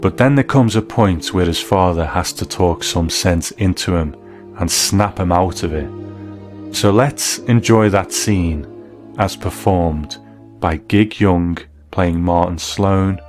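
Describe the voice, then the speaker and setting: Deep-voiced male presenter over music. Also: slow pace, darkish tone. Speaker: documentary presenter. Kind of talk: scripted commentary introducing clips. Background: music bed.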